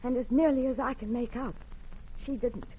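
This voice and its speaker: An American actress playing a prim, excitable secretary smitten with her detective boss, fussy and emotional, in 1940s radio drama style. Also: on the high side, normal speed, breathy edge. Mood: scolding, wound up. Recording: muffled 1940s radio recording.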